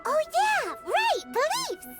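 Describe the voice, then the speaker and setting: A woman voicing a tiny, childlike, excitable girl sidekick in a video game, perky and blunt in a cartoonish way. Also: extremely high pitch, takes it slowly, full character voice. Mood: thoughtful. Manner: speaks of herself in third person, perky. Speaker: game character. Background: prominent music.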